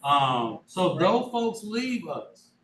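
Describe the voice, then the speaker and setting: A man's voice: high-pitched, big pitch swings, very slow. A man speaking as a school superintendent at a board meeting, casual and folksy in manner. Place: meeting room. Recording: echoey room, distant mic, faint noise.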